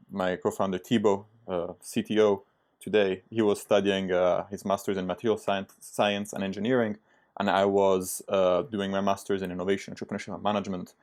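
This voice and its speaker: A man's voice: on the low side, a little mellow. A young man from Italy, a startup founder speaking as a podcast guest in a casual, hesitant way with many fillers and restarts. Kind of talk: casual interview talk.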